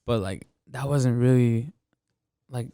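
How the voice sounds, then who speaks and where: Laid-back young man, smooth dry voice. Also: mid-range voice, slow pace, warm tone, dry recording. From United States. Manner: laid-back, slangy, says "bro" often. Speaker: interview guest, musician. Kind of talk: casual interview chat.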